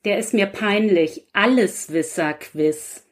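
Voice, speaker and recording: A woman's voice: mid-range voice, slow. A woman speaking as a guest author in a casual conversation, chatty and self-deprecating. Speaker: guest author and quiz writer. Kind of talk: casual chat.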